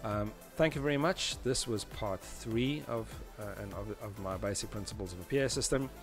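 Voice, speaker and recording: A man with a fairly low voice explains technical material as a tutorial presenter. His delivery is conversational and somewhat halting, as if talking a learner through each step. Music plays faintly behind him.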